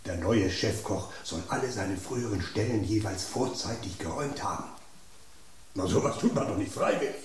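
A man performs a gossipy know-it-all spoon in a radio play, teasing and theatrical. His lower voice swings widely up and down as he builds suspense, heard in an echoey room with faint noise behind. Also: animated, moderate pace, lightly acted. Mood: gossiping. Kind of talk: radio drama acting. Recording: roomy studio echo, faint background.

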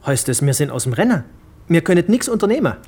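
A man's voice: on the high side, very animated, quick pace, darkish tone, breathy edge, exaggerated voice. An actor playing a blunt, pushy male investigator in a radio drama. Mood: impatient. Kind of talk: German radio drama acting.

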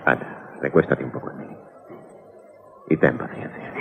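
An actor with a Castilian Spanish accent playing an anxious, awestruck father in a dramatic, excitable 1980s radio drama style. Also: rumbling low, normal speed, gravelly, heavily acted. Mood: puzzled, soothing. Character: anxious, awestruck father stranded with his family. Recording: tinny, noisy 1980s radio recording.

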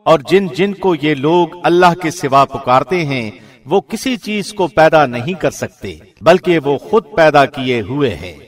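Male scripture reader, slightly high pitch, formal, solemn, measured phrasing, scripted presenter delivery. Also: animated. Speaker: professional radio host reading translation.

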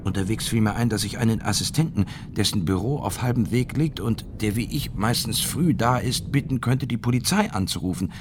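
An actor playing a shaken male academic who soberly recalls being a bombing victim in a measured radio drama monologue. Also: lowish voice, noisy background, close to an everyday voice. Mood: controlled shock.

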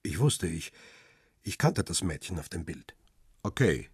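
An actor playing a world-weary, guarded paparazzo who narrates a radio crime drama in a measured, understated, husky way. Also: male voice, low voice, big pitch swings, a little mellow, no room sound, studio quality, full character voice. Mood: pensive.

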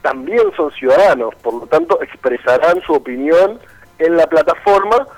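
A man's voice: fairly high pitch, moderate pace, poor audio. A man with a Buenos Aires accent speaking as a political candidate in a radio interview by phone, laying out his points in an articulate, persuasive way. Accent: Rioplatense Argentine, Buenos Aires.

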